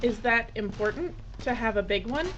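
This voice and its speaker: A slightly muffled recording with faint noise behind it. A woman plays a bossy, shrewd female crew leader in an audio drama, speaking fairly high. Her manner is brisk and commanding, with dry politeness. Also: expressive, a bit bright. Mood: dryly polite.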